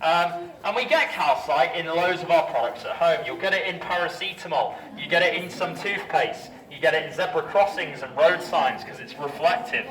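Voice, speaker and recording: A man's voice: fairly high pitch, normal speed, few pauses, a bit bright. A man working as a cave tour guide, addressing a group informally with wry, jokey asides. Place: inside an underground cavern. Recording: roomy cave echo, distant, group noise. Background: crowd of tour visitors.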